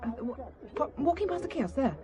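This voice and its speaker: Fast, high, flustered secretary. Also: female voice, sing-song, a crowd in the background. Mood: flustered, anxious. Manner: stammering, breathlessly flustered. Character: flustered, anxious, deferential female secretary. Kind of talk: audio drama acting.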